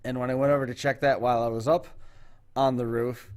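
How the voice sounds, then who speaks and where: Unhurried man telling everyday stories. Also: medium pitch, little pitch movement. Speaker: talk show host. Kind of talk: casual chat.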